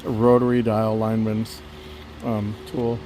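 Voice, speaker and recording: A middle-aged man with a slightly raspy voice, casually talking to himself as he browses a thrift store and films it. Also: on the low side, a little slow, slight background noise. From Las Vegas area. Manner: relaxed, muttering to himself while browsing. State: slightly raspy from dust and a cough. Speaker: thrift vlogger.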